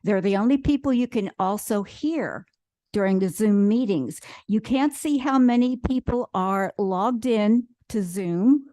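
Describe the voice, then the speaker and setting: A woman testifies before a committee in a measured, formal manner, laying out details point by point with small hesitations. Her mid-range voice sounds dry and close at a podium microphone. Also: mid-range voice, animated, dark tone, no echo. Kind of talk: public testimony. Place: legislative hearing room.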